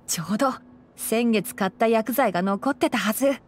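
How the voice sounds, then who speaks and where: A woman playing a calm, principled young male fox-eared forest ranger and scholar in a Japanese video game, speaking in a measured, articulate way. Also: fairly high pitch, faint music behind, natural voice. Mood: casual.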